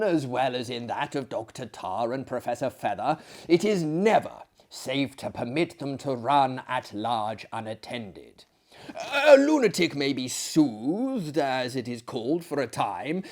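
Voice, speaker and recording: A man voicing a genial, pompous, slightly crazed French gentleman who runs a madhouse, emphatic and halting, in a theatrical storybook reading. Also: medium pitch. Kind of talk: theatrical audiobook character acting.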